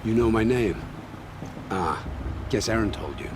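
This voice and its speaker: An actor playing an evasive, gruff male scout and scavenger, blunt and defensive in a video game cutscene. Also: on the low side, lively intonation, a little slow, noisy background. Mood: guarded.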